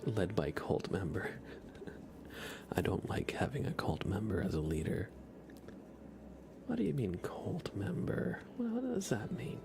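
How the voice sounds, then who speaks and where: A soft-spoken man hosting a relaxed ASMR gaming livestream, often dropping into a whisper. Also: fairly low pitch, measured pace, vocal fry, long pauses, noisy background. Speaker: livestream host.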